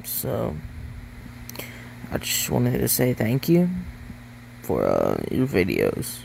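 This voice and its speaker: A man speaks slowly and haltingly into his phone, his voice thick with gravel. His pitch swings widely up and down, and a faint hum of air conditioning sits behind him. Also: huge pitch swings, darkish tone. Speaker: listener sending a voice memo. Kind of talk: casual voice memo.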